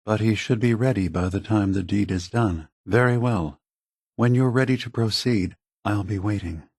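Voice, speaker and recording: An actor playing a calm, weary, philosophical android leader in a video game, speaking in a measured, gentle way with a husky voice. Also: male voice, on the low side, no room sound, studio quality. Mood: grave, scheming. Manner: measured, deliberate, gentle, reflective.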